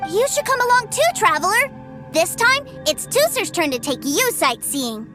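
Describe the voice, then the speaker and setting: A woman voicing an eager, stubborn little boy in a video game, an adoring younger brother with an excitable, wheedling way of talking. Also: very high voice, normal speed. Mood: pleading, eager. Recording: music playing behind the voice.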